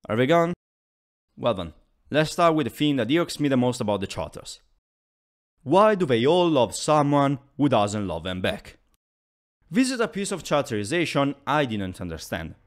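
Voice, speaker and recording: A man's voice: medium pitch, sing-song, normal speed, faint music behind. A man giving a scripted video essay review as commentary, in a wordy, analytical way with emphatic phrasing.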